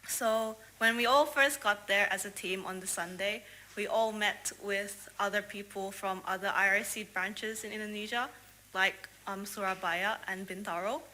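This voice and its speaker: A woman's voice: mid-range voice, steady tone, moderate pace, sharp tone, slight background noise, low-quality recording. A young woman from Australia sharing a testimony about a mission trip with a congregation, earnest, with frequent fillers. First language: English. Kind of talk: prepared testimony talk to a congregation.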